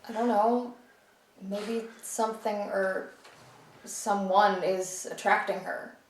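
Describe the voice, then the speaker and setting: An actress playing an earnest, stubborn believer in the supernatural, talking in an insistent, rambling way in a naturalistic drama. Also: female voice, medium pitch, fairly even intonation, takes it slowly, natural voice. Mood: earnest, defiant. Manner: insistent, rambling, self-deprecating. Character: earnest, stubborn, chatty woman who believes in ghosts. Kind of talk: naturalistic film drama acting. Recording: echoey room, distant mic, faint noise.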